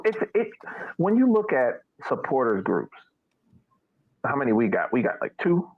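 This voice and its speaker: A man's voice: mid-range voice, very animated, moderate pace. A man co-hosting a casual sports podcast, talking informally. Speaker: podcast co-host. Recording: thin phone-like line.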